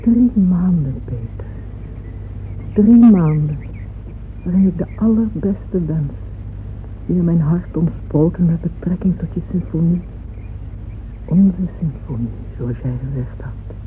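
An actress playing a grieving, lovesick woman patron who recalls her heartbreak in a halting radio drama monologue. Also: on the low side. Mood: wistful waiting. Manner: hushed, halting, repeats words in anguish. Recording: muffled phone-like old radio recording, noisy.

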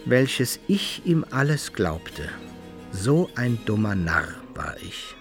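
Lively wry adventurer narrating. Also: male voice, mid-range voice, takes it slowly, faint music behind. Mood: wry, vivid. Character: wry man recounting his foolish youthful adventures. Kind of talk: scripted first-person narration in a radio play.

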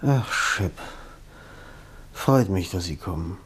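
An actor playing an ailing, exhausted middle-aged novelist, irritable and wistful, sighing and groaning in a German radio drama. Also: male voice, deep voice, animated, slow pace, a bit bright, breathy edge, full character voice. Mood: wistful, weary. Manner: weary, groaning, sighing, breaking into whispers.

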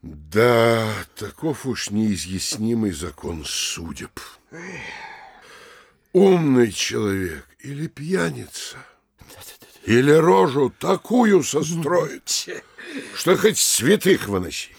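Gravelly pompous small-town mayor. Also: male voice, mid-range voice, sing-song, heavily acted. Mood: exasperated. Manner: officious, lecturing, theatrically emphatic with pauses. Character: pompous, anxious small-town mayor, middle-aged man. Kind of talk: classic comedy audio drama acting.

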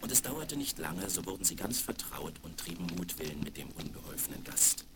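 Deep-voiced man narrating a fairy tale. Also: very animated, brisk. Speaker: storyteller, narrator. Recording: very noisy, crackly 1970s vinyl record.

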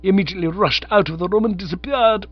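A man's voice: sits high, fast, voice pushed up. A man voicing a stern, pompous middle-aged aunt who lectures loftily and stalls over delicate words. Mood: imperious. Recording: slightly dull lo-fi recording.

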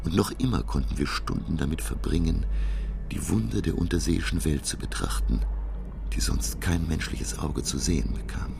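An actor playing a thoughtful middle-aged professor, narrating his sea voyage in a measured, literary style for a radio drama. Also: extremely low pitch, gravelly, music in the background, heavily acted. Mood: reflective, measured.

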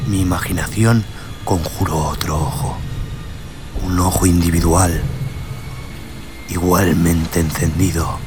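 A man's voice: deep voice, noisy background. A man narrating a scripted horror tale in a solemn, theatrical way. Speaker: host and narrator of a horror audio story show. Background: music.

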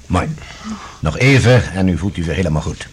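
An actor playing a composed, authoritative security general in a radio drama, speaking formally and courteously but firmly, with a husky voice. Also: male voice, low-pitched, expressive, moderate pace, a little hiss or hum. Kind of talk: Dutch radio drama acting.

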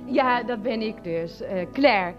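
An actress playing a vain, chatty middle-aged wife in a radio play, sounding pleased with herself. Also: female voice, fairly high pitch, animated, takes it slowly. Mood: self-satisfied. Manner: self-satisfied, chatty. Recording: dull lo-fi radio recording, faint music.

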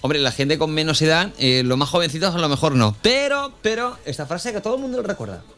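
Higher-voiced Spanish man hosting radio. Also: big pitch swings, fast talker, a bit bright. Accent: Spanish from Spain. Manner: informal, bantering, often seeking agreement. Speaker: radio host. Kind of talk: casual radio chat with a co-host.

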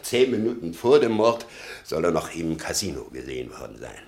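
Very deep, gravelly bitter old man. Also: very animated, fairly quick, darkish tone, heavily acted. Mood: scornful. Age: older. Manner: rambling, sardonic, muttering and flaring up. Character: bitter, sarcastic old father of a convicted son. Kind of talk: German radio play acting.

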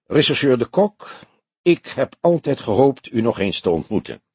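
A man's voice: medium pitch, big pitch swings, moderate pace, slightly rough, dry recording, full character voice. A man voicing a stout, wealthy crime suspect in his late forties in an audiobook, polite and self-assured. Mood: polite, genial. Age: 40s.

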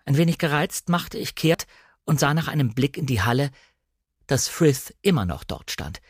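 A man's voice: a little high, sing-song, normal speed, breathy edge, dry recording. Man narrating literary fiction, dry recording. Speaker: audiobook narrator. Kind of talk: scripted literary first-person narration.

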